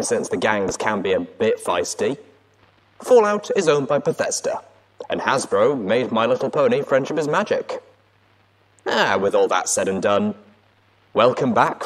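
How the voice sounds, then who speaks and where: A man hosting a podcast in the style of a radio DJ, theatrical and chummy as he introduces the show. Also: lowish voice. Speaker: podcast host. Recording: clearly noisy recording.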